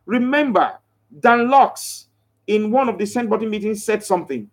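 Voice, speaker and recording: A man's voice: sits high, normal speed. A man hosting a motivational live broadcast, preaching with emphatic repeated phrases and stopping to read out and answer viewers' comments. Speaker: live broadcaster promoting a crypto scheme.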